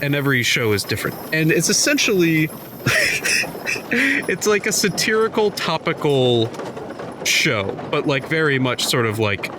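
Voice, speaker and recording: A man's voice: fairly high pitch, lively intonation, moderate pace, background noise. A man playing a tabletop game, rambling through explanations with fillers and laughs. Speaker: player. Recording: music bed under the voices.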